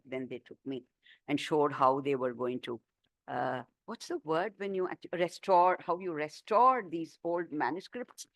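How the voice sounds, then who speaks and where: A woman author giving a book talk and answering questions, chatty and animated, with many fillers and restarts. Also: sits low, no echo. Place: talk room.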